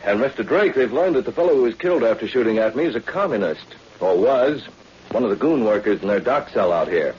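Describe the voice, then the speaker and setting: An actor playing a self-assured, tough but polite undercover agent in 1940s radio drama style. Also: male voice, mid-range voice, animated, a little fast, darkish tone, breathy edge. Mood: puzzled, urgent. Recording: muffled, hissy old-time radio recording.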